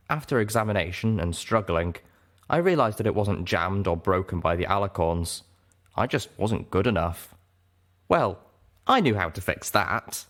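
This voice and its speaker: A man reading fiction aloud as a dramatic first-person narrator, measured and expressive. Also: deep voice. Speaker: audiobook narrator.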